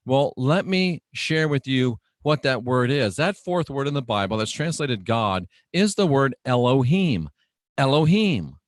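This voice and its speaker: A man preaches in a radio monologue at an unhurried pace, in a medium-pitched voice with a dry sound. He is emphatic and theatrical like a showman, leaning on repeated phrases, weighty pauses and rhetorical questions aimed at his listeners. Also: very animated, no room sound. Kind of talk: dramatic sermon-like radio monologue.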